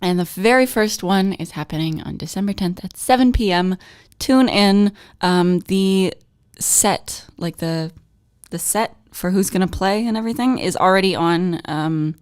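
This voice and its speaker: A woman's voice: fairly low pitch, normal speed, a little mellow, dry recording, studio quality. A young adult woman co-hosting a student radio show, chatting casually through news items and laughing often.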